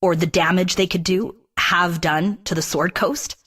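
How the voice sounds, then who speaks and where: A righteous, stern mercenary captain from a fantasy game. She speaks in a challenging, indignant manner with a lowish voice. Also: fairly even intonation, a little slow, fairly bright tone, breathy edge. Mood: accusing.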